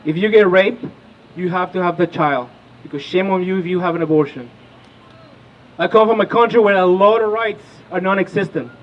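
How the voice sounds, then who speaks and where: A man's voice: high-pitched, brisk, muffled recording. Rousing Peruvian-born man at a rally. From Peru. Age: 30s. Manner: rousing, asks the crowd questions. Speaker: rally speaker, political organizer. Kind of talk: impassioned rally speech. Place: outdoor rally.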